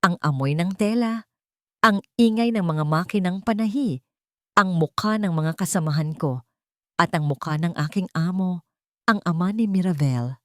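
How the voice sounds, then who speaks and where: Low-voiced woman narrating a dramatic story. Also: moderate pace, no room sound, studio quality. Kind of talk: scripted first-person dramatic narration.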